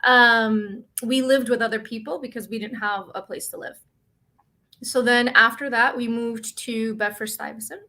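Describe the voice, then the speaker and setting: A woman's voice: a little high, steady tone, moderate pace, bright, forceful tone. A middle-aged woman from the Dominican Republic, a guest in a casual interview, emotional and laughing often.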